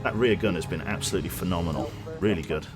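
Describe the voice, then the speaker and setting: A man giving gameplay commentary as a streamer, excitable and casually swearing, his voice going a little hoarse. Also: low voice, fast, music in the background. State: losing his voice.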